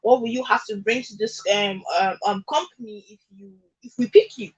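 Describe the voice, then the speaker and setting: A woman with a Nigerian accent and a high-pitched, husky voice talks as a guest on a radio talk show. She argues her case conversationally and emphatically, repeating key phrases and checking that the listener follows. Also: moderate pace, rough recording. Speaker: radio guest discussing social issues. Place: radio studio.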